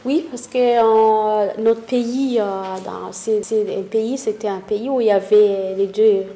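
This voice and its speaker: A woman's voice: medium pitch, steady tone, dark tone. Hesitant Rwandan-born woman, echoey room. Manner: halting, searching for words, many fillers. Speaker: interviewee, witness. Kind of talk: spoken testimony.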